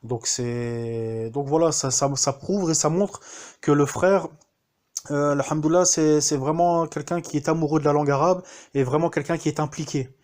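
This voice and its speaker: Un homme français qui témoigne de manière spontanée, en hésitant souvent et en reprenant ses phrases. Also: male voice, a little high, steady tone, moderate pace, darkish tone. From France. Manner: hesitant, many fillers and restarts. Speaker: student giving a testimonial. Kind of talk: casual spoken testimonial.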